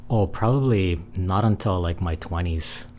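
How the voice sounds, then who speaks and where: Deep-voiced, thoughtful male guest. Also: a little hiss or hum. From Hawaii. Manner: thoughtful, measured, gently laughing. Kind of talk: casual interview chat. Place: small podcast studio. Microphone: studio mic with headphones.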